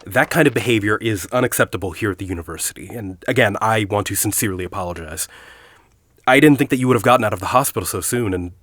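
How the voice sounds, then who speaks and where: An actor playing a courteous, quietly commanding university headmaster in an audio drama, with a polished, genial manner. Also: male voice, on the low side, quick pace. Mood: calm, apologetic. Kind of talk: naturalistic audio drama acting.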